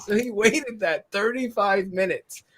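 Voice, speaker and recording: A man's voice: high-pitched, unhurried, noisy background. A man co-hosting a casual sports talk show, telling stories animatedly and laughing often. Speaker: podcast co-host.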